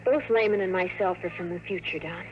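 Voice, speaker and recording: An actress playing an anxious, conflicted time traveler in 1950s radio drama, speaking with earnest, melodramatic intensity. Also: female voice, lowish voice, fairly quick, lightly acted. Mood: earnest, resigned. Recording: noisy old radio drama recording.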